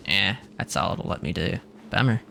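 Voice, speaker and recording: A man's voice: deep voice, lively intonation, moderate pace, gravelly, sharp tone, slight background noise. A man giving casual, joking gameplay commentary in informal, bro-ish slang. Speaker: game streamer.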